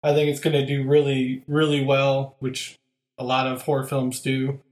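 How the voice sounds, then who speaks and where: A man's voice: mid-range voice, completely flat delivery, normal speed, reverberant. A man hosting a podcast, chatting casually and upbeat with lots of fillers. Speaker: podcast host.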